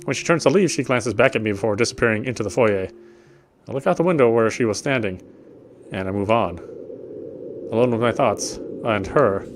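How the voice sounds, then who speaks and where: A man's voice: fairly low pitch, brisk, slight background noise. Man playing through a story game aloud. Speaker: game streamer. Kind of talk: casual let's play commentary and reading story text aloud. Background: game music.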